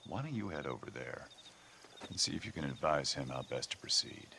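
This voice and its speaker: A man playing an enigmatic, faintly menacing gentleman stranger in a western game, speaking in a measured, needling manner. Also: rumbling low, expressive, gravelly, heavily acted. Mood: cryptic, calm. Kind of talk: video game cutscene acting. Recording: faint outdoor insect noise.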